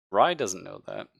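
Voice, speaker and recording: A man's voice: fairly low pitch, vocal fry, a bit bright, husky, no echo. A man acting as game master, narrating and chatting casually in an easygoing, accommodating way. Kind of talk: casual tabletop game narration and table chat.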